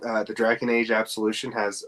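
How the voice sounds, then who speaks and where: A man's voice: on the low side, monotone, brisk, a bit bright. A man co-hosting a podcast, chatting casually and giving his opinions in a conversational way. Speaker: podcast co-host. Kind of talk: casual chat about games and film news.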